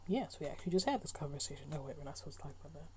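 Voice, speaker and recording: A woman with a husky voice giving casual live commentary while streaming a game. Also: low voice, animated, racing, a crowd in the background.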